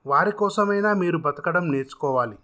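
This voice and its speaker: A man's voice: a little high, lively intonation, a little fast, pushed and bright. A man voicing an earnest, generous self-made contractor who speaks formally and encouragingly in a dramatised story.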